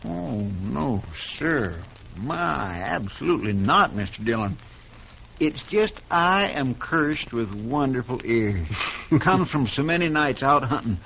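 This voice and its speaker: An actor in a 1950s radio western playing a loyal, folksy deputy with an eager rustic drawl. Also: male voice, mid-range voice, lively intonation, slow, gravelly, bright, forceful tone, exaggerated voice. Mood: earnest. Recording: muffled old radio recording, faint hiss.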